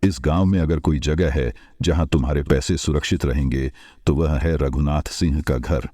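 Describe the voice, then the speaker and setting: A man playing a kind, shrewd older village merchant in a cartoon story, speaking in a measured, advising way. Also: extremely low pitch, warm tone, no echo, studio quality. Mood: calm, reassuring. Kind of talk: Hindi cartoon moral-story voice acting.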